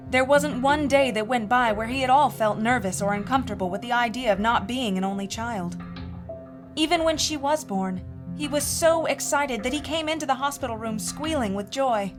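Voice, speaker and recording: A woman playing a haunted mother in her forties, telling a first-person horror story in a measured, earnest, confiding way. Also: fairly high pitch, lightly acted. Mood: sober, confiding. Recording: music bed under the voice.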